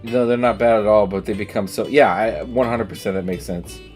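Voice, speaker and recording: A man's voice: on the low side, a little fast, darkish tone, soft background music. A man chatting casually and emphatically on a livestream while playing a game, giving opinions with some swearing. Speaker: game streamer. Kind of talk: casual livestream chat over gameplay.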